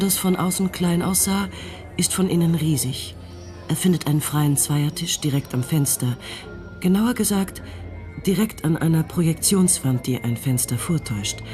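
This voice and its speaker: Soft mellow guy narrating a radio play, measured and matter of fact, faint music under. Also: on the high side. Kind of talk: scripted radio drama narration.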